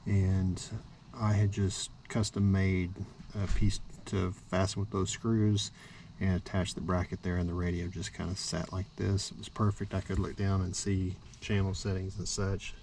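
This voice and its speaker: A man casually walking through a vehicle install outdoors, relaxed and practical, with lots of fillers and restarts. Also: deep voice, moderate pace, slight background noise. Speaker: vlogger. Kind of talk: casual how-to walkthrough.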